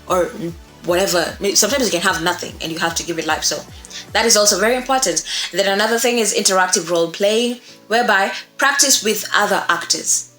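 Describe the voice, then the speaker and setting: A woman's voice: medium pitch, fairly bright tone. A young woman giving a casual, encouraging tutorial to camera, repeating key points for emphasis. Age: young adult. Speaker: voice acting instructor. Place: small indoor room. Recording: echoey room, faint music behind.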